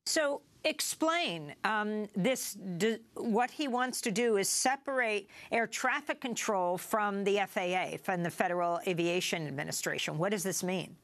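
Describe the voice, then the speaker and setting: An American woman hosts a news program in a medium-pitched voice. She reads reports and articles in a presenter's style and puts questions to guests. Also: measured pace, few pauses. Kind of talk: news presenting and interviewing, partly reading from script and articles.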